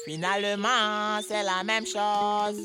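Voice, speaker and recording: A woman's voice: medium pitch, flat delivery, slow, natural voice. A woman voicing a bossy but patient older girl in an educational cartoon, explaining with emphasis. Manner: instructive, emphatic. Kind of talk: French-language educational cartoon dub. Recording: music behind the voice.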